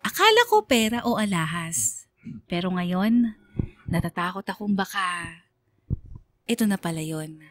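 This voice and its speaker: A woman's voice: on the low side, big pitch swings, measured pace, pauses often, no room sound. A woman radio DJ delivering dramatic scripted story narration. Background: music.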